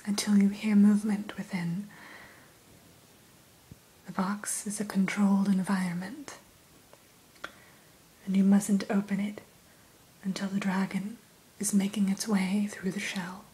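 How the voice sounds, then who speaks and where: A woman whispering a gentle, courteous ASMR roleplay as the keeper of a fantasy creature shop, in a breathy voice. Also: medium pitch, poor audio. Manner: slow, gentle, courteous shopkeeper patter. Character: gentle, courteous female keeper of a dragon hatchery. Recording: steady hiss behind close whisper.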